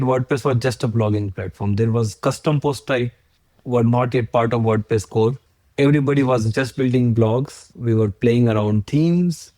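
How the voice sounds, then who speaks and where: A man's voice: medium pitch, warm tone, no echo. A man in his forties from India with an Indian accent, a podcast guest who explains his points earnestly, step by step, with examples. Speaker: agency founder, podcast guest. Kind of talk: podcast interview.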